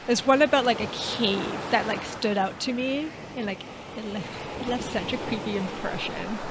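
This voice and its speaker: Female gamer, higher-pitched voice, informal and expressive playthrough commentary, very loud ocean ambience behind her. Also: normal speed, heavy background noise. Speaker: gaming video creator. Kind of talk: casual playthrough commentary and reading aloud. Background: game's sea ambience.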